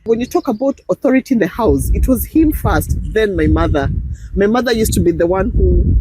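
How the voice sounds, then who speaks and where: A woman's voice: medium pitch, lively intonation, brisk. A middle-aged woman with a Kenyan accent, a guest chatting casually with many fillers and frequent laughs. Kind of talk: casual interview chat. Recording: noisy background.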